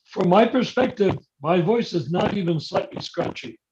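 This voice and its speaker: A man with a somewhat high voice talks earnestly about spiritual questions over a video call. His voice comes through his hearing aids and sounds scratchy and muffled. A clear noise sits under every word. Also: lively intonation, normal speed. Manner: earnest, rhetorical, asks if he makes sense. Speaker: discussion leader. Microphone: phone linked to hearing aids.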